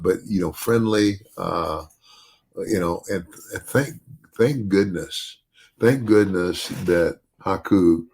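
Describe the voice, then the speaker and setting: Older male podcast host, deep bass voice with gravel, rambling and restarting mid-thought. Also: a little mellow. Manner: rambling with many restarts and "you know". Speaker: podcast host, retired wrestler. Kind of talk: casual podcast chat.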